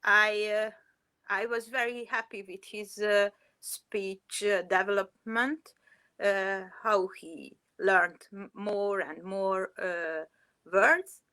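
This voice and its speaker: Slow, bright Hungarian-accented woman. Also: mid-range voice, fairly even intonation, clear, resonant tone, no echo. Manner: hesitant, many uh fillers. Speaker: mother, guest. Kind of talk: interview answers.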